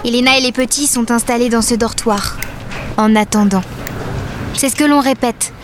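High-voiced living doll voiced by a woman. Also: moderate pace, background noise, natural voice. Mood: weary. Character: living rag doll narrating, tender, anxious, observant. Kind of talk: scripted audio fiction narration.

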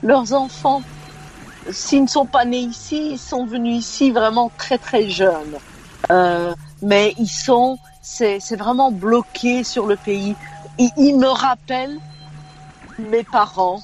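A woman's voice: a little high, slow pace, darkish tone, soft background music. Frank woman in her fifties calling in. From Belgium. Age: 50s. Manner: frank, opinionated, with frequent restarts. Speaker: radio caller. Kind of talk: call-in radio chat. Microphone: phone.

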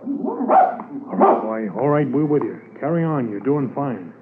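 An actor playing a polite, dogged Mountie police sergeant, formal and businesslike, in a 1950s radio drama. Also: male voice, fairly high pitch, wildly swooping pitch, slow pace, full character voice. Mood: urgent, alert. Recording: noisy, tinny 1950s radio recording.

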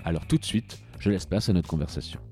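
Deep-voiced man hosting a podcast. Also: fast talker, mellow, faint music behind. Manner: smooth read intro, then hesitant questions with fillers. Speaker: podcast host. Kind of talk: scripted intro then interviewing.

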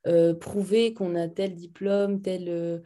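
A woman interviewer with a low, warm, mellow voice. She asks open questions and chats easily, agreeing often with short interjections. Her tone stays relaxed and conversational throughout. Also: slow pace. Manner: chatty, agreeing backchannels. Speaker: podcast host, interviewer. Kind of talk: casual podcast conversation.

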